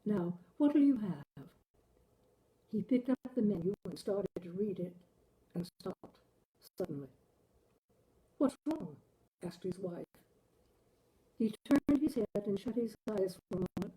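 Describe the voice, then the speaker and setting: A woman hosting a literary reading, speaking in deliberate, measured and expressive phrases. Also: mid-range voice, low-quality recording. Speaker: host reading short stories. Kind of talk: literary story reading and host talk.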